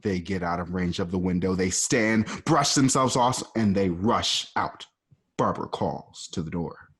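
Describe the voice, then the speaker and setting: A man with a husky voice casually narrating stage directions for a radio play, adding asides. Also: deep voice, unhurried. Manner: casual, animated, adds asides.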